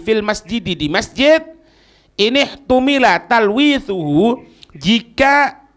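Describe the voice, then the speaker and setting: High-pitched Indonesian male religious teacher. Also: fairly bright tone. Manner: didactic, repeats phrases and checks understanding. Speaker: Islamic teacher. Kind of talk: religious lesson reading and explaining an Arabic text line by line.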